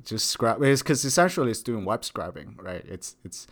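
Young guy grad student podcast host, kinda low voice, lots of uh and right right right. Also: very animated, creaky, a bit bright. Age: young adult. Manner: halting, many fillers and repeated words. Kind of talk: casual chat.

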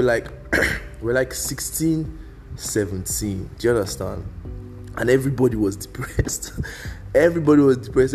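A young man with a Nigerian accent, Igbo by first language, talks through a casual solo podcast. His voice sits on the low side, and his delivery is loose and meandering, mixing slang and pidgin. Faint music plays softly behind him. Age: young adult. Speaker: podcast host.

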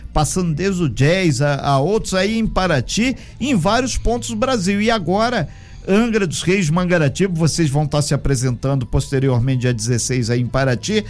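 A man hosting a radio interview, chatty and promotional in tone. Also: high voice. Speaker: radio host.

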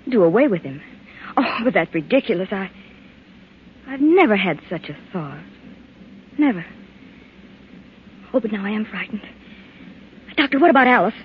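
Actress as worried, resolute wife, vintage radio drama: very animated intonation, muffled old sound. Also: female voice, medium pitch, moderate pace, pauses often, natural voice. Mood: shocked, frightened. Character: anxious, determined wife and mother. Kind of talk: 1940s radio melodrama acting. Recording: dull, hissy old radio recording.

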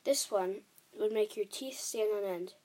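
A woman voicing an excitable, kindly male giant in a children's storybook, emphatic and exclamatory, with quaint muddled grammar. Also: medium pitch, a little slow, natural voice. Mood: indignant, vehement.

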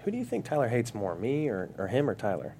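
A man acting an affectionate, easygoing divorced dad in a comedy script. He talks quickly at an average pitch, and there is faint noise behind him. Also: huge pitch swings, mellow. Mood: casual, anxious. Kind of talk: naturalistic comedy script reading.

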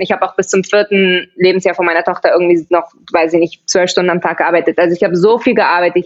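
Woman from barcelona telling her story on a podcast, lots of ähms and restarts, kinda muffled audio. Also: medium pitch. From Barcelona, Spain. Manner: chatty, laughs often, many fillers and restarts. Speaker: podcast guest. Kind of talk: casual interview chat.

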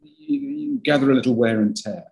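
A man's voice: medium pitch, wildly swooping pitch, normal speed, creaky, darkish tone, breathy edge. An older man, an actor, talking in a podcast interview, rambling and self-deprecating with many restarts and a big voice. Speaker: actor guest.

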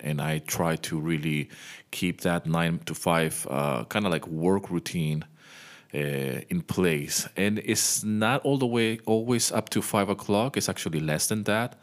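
Deep-voiced man chatting to listeners. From Venezuela. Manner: chatty, speaks straight to the listener. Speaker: podcast host, music composer. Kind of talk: casual podcast monologue. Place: home.

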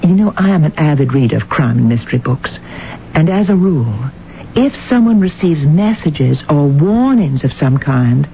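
An actress playing a devoted, inquisitive housekeeper, bustling, coaxing and polite, in old-time radio drama style. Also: female voice, deep voice, lively intonation, normal speed. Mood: solicitous. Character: devoted, fussy female housekeeper, inquisitive and solicitous. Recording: tinny, noisy old radio broadcast.

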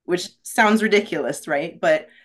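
A woman's voice: lowish voice, a little slow, fairly bright tone. A woman being interviewed on a podcast, talking candidly and earnestly, often checking in with "right?". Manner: reflective and earnest, frequent "right?" tags. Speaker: podcast guest, rock climbing guide.

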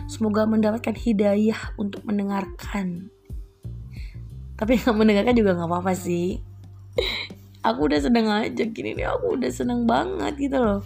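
A woman's voice: mid-range voice, warm tone. Giggly woman rambling. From East Java, Indonesia. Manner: giggly, rambling, self-deprecating. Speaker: housewife podcaster. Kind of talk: casual solo podcast monologue.

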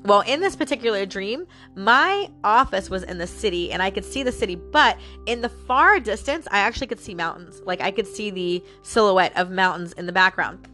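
A woman's voice: medium pitch, lively intonation, normal speed, bright, forceful tone, faint music behind. A woman with a stuffy nose and a slightly nasal voice, rambling and musing aloud to her listeners as host of a personal talk show. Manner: chatty, musing aloud, addresses listeners as guys. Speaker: podcast host.

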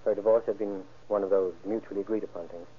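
A middle-aged businessman under questioning in a vintage radio drama. His voice is lowish and husky, polite and careful, with hesitant explanations. The sound is phone-thin and aged. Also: fairly even intonation, fast. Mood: reluctant, explanatory. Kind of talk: old-time radio crime drama acting. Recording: thin, muffled old radio recording.

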